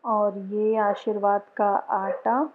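A woman in her thirties chatting casually at home about her monthly groceries, pausing often with fillers and slipping into English phrases. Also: mid-range voice, phone-quality sound, slight background noise. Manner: relaxed, mixes English phrases, many pauses and fillers. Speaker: vlogger. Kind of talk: casual grocery haul narration. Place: home, indoors.

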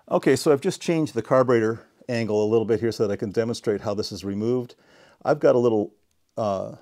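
Low, mellow man explaining. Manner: deliberate, step-by-step explaining. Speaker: DIY repair demonstrator. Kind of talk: casual hands-on tutorial narration. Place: indoor home workshop.

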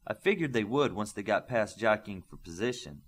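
A man voicing a confident, reassuring male superhero mentor in an audiobook, giving measured advice. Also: lowish voice, lively intonation.

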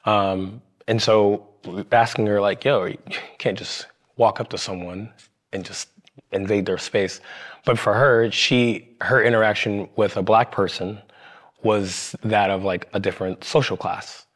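Young male podcast guest from Ohio, low voice, halting candid storytelling, studio set. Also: fairly even intonation. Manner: halting, many restarts and fillers.

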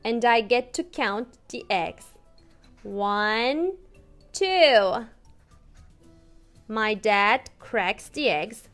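A young woman with a Turkish accent, an English teacher reading a picture book aloud to toddlers in a slow, sing-song, playful way. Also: fairly high pitch, lively intonation, a bit bright, soft background music. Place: small room indoors.

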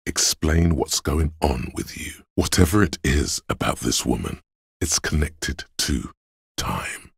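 Game casting: grandiose, sardonic inner voice of endurance, slow and gravel-throated, very dry close sound. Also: male voice, expressive, dry recording, full character voice. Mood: solemn, ominous. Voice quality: very deep, gravelly, husky rasp. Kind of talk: video game voice acting.

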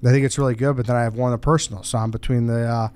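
Smooth-voiced man hosting a podcast. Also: medium pitch, fairly even intonation, racing, darkish tone. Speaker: podcast co-host. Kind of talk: casual podcast chat.

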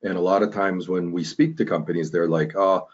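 A man from Costa Rica who works in medical tourism, answering interview questions in a calm, measured way on a video call. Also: low voice, slightly rough. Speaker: hospital medical tourism director. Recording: dull video call audio.

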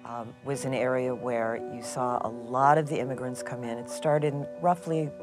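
A man's voice: mid-range voice, fairly even intonation, moderate pace, music in the background. A man in an interview, informatively describing the area's immigrant history. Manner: informative, listing.

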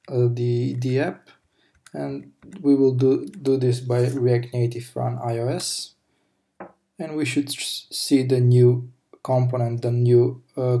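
A man narrates a programming tutorial while working on screen, picking his words slowly and correcting himself as he goes. His voice is dark and mellow with a gravelly edge. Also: mid-range voice. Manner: slow, halting explanation with fillers and self-corrections. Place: at a desk, recorded over screen capture.

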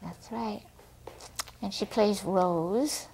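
A woman with a husky voice, chatting casually as an interview guest. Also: mid-range voice, drawn-out words, mellow, a little hiss or hum, rough recording. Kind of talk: casual chat. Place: small TV studio, seated at a table.

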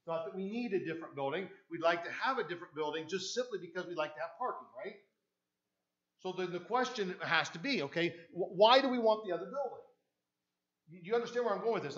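Older Canadian pastor, high voice. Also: male voice, fairly bright tone, dull audio. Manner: conversational preaching with many restarts and "right?" asides. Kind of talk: sermon. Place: church sanctuary, indoors. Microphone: podium mic, speaker often walking away from it.

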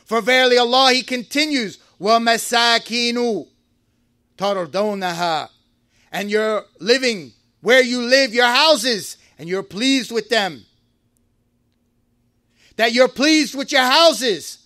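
High-voiced man preaching with sharp force. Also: moderate pace, no room sound, studio quality. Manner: impassioned preaching, rising to shouts, quotes Arabic verses. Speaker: imam giving a Friday sermon. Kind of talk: sermon. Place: mosque.

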